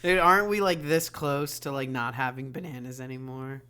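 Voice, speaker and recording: A man's voice: mid-range voice, fairly even intonation, a little fast, sharp tone, clear, resonant tone. A man hosting a casual podcast, asking eager questions and echoing his guest's answers. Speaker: podcast host. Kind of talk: casual chat. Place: podcast studio.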